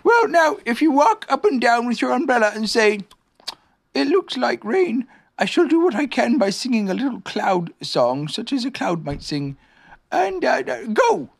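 Reader doing a silly little storybook bear, way up high, earnest n halting, swoopy pitch. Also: big pitch swings, vocal fry. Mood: earnest, scheming. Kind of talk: children's storybook character voice.

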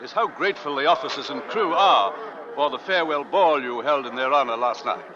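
An actor playing a hearty, assured nineteenth-century sea captain in old-time radio drama style. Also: male voice, medium pitch, brisk, crowd noise, close to an everyday voice. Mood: reassuring. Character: hearty, assured 1850s sea captain of an Arctic rescue ship. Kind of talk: 1940s-50s radio drama acting. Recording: muffled old radio recording, faint noise.

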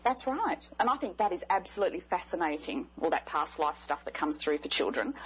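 A woman's voice: medium pitch, slightly rough, poor audio. An Australian woman speaking over a Skype call as a guest, friendly and earnest, laughing readily. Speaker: guest clairvoyant medium. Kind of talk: interview on a call.

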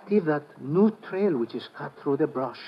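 A man's voice: fairly high pitch, lively intonation, normal speed. An actor playing a loyal, anxious sidekick in old radio adventure, hesitant and deferential in his speech. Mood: hushed, uneasy. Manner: hesitant, deferential, speaks of himself in third person. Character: loyal, earnest, anxious male sidekick of the hero. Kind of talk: 1940s-50s radio adventure acting. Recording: dull, hissy old radio recording.

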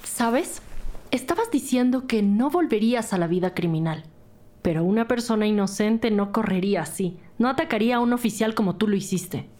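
An actress playing a tough, sceptical police detective, stern and accusing, in a crime audio drama. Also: female voice, medium pitch, lively intonation, moderate pace, dark tone, lightly acted.

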